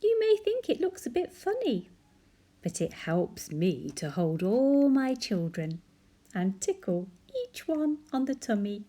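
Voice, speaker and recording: Silly happy octopus mum, woman putting it on, sing-songy and squeaky high. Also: sing-song, a little slow, mellow. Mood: playful. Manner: giggly, sing-song. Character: cheerful mother octopus, playful and doting.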